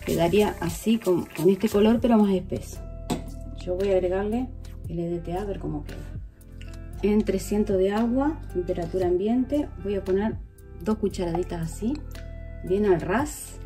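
A woman's voice: mid-range voice, moderate pace, mellow. Woman vlogger, 40s, chatty home demo, phone mic, music under her voice. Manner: chatty, addresses viewers directly, working while talking. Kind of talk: casual how-to demonstration. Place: home kitchen, indoors. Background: background music.

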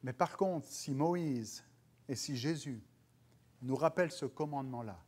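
A man in his thirties with a French accent preaches from a church stage before dark curtains. His voice rises with emphasis whenever he quotes scripture. He speaks into a headset mic. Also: mid-range voice, measured pace, husky. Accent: French (France). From France. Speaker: pastor. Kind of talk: sermon.

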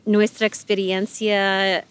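A woman's voice: medium pitch, little pitch movement, slow, clean tone. A middle-aged American woman, a native English speaker, talking in an interview in careful learner Spanish with many fillers and restarts. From United States. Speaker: guest.

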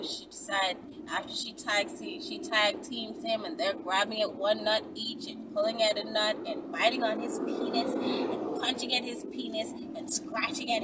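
A young woman telling a story casually and dramatically to the camera, acting out bits as she goes. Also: sits high. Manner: dramatic, excitable delivery, acting out crowd shouts and quotes. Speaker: vlogger, storyteller. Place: inside a moving car. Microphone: camera mounted in the car, at about arm's length. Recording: distant mic, loud vehicle road noise. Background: car engine and road noise.

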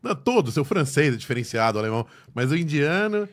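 A man's voice: a little high, quick pace. A Brazilian man hosting a podcast, chatting in a playful, joking way. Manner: playful, jokey, lively intonation. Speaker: podcast host. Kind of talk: podcast conversation.

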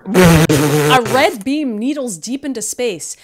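A woman's voice: fairly high pitch, very animated, takes it slowly, very noisy. A woman reading parts and narration in a casual table read, on a group video call with other people chattering. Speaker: podcast co-host and script reader.

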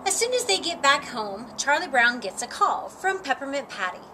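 Bright, high-pitched woman reading a storybook. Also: normal speed, slight background noise. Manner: animated, acts out the dialogue. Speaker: storytime reader. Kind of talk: expressive children's picture-book read-aloud. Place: outdoor patio with lattice and ivy.

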